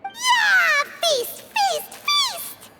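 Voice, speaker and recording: Squeaky, food-mad fairy sidekick, very high and sing-song, over loud game music. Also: female voice, sing-song, extremely slow, exaggerated voice. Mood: excited. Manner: squeaky, bouncy, talks about herself by name. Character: tiny floating fairy companion, excitable and food-loving. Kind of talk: video game voice acting.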